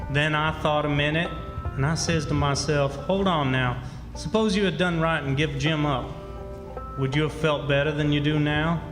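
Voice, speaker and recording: A man's voice: a little high, close to an everyday voice. A man playing a plainspoken backwoods boy who narrates a radio drama in a rustic, artless way. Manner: rustic, folksy, artless storytelling. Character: plainspoken backwoods boy telling his story. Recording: echoey live hall.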